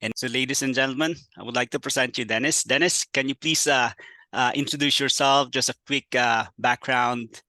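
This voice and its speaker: A man's voice: mid-range voice, steady tone, normal speed, no room sound. A male podcast host giving an emphatic, announcer-style introduction of his guest, with many "uh" fillers. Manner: loud, emphatic announcer-like delivery.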